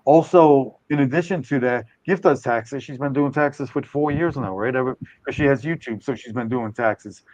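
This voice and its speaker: A man's voice: mid-range voice, moderate pace, few pauses, a little mellow, dry recording, muffled recording. Older man rambling on a live stream. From United States. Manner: rambling, hedging, self-correcting. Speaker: host. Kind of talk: casual live stream talk.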